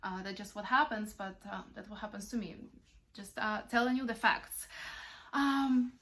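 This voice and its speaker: A woman's voice: mid-range voice, moderate pace, a bit bright. A young woman from Ukraine, a native Russian speaker, chatting casually as a vlogger and mother, answering questions with lots of ums and uhs. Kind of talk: casual Q&A while wrapping gifts.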